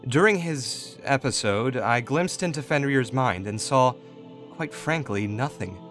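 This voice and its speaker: Weary god statesman played by a man, polite and restrained, audio drama with soft underscore. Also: mid-range voice, animated, measured pace, soft background music. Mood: grave. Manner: restrained, formal. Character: weary, diplomatic male god, measured and apologetic.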